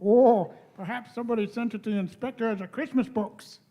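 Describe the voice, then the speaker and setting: A man's voice: high voice, steady tone, a little fast, muffled recording, close to an everyday voice. A cheeky young male constable played by an actor chats in a live radio play. He sounds jokey and colloquial, always ready with a quip. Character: cheeky, chatty young male English policeman.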